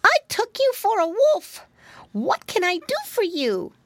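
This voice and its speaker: A woman voicing an excitable, polite little boy piglet in a children's storybook, eager and earnest. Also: very high-pitched, measured pace, no room sound, pitched above the performer's own voice, exaggerated voice. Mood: apologetic, polite.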